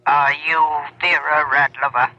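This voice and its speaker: Sharp, ominous anonymous caller. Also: male voice, on the high side, fairly even intonation, unhurried, exaggerated voice. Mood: urgent warning. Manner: insistent, ominous. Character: mysterious, urgent anonymous male caller giving grave warnings. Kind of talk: 1970s radio drama acting. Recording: thin, lo-fi old radio recording.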